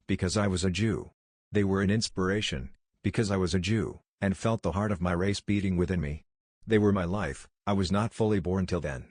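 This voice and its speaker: A man's voice: deep voice, a little mellow, dry recording, clean studio sound. A man voicing a frail, ailing visionary scholar who speaks with fervent, solemn, old-fashioned phrasing.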